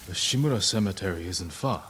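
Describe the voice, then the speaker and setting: Deep-voiced, stern samurai lord. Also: male voice, lively intonation, brisk, a bit bright, slight background noise. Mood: terse, restrained. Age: adult. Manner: terse, serious. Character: stern, restrained young samurai lord, dutiful and determined. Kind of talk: dramatic video game acting.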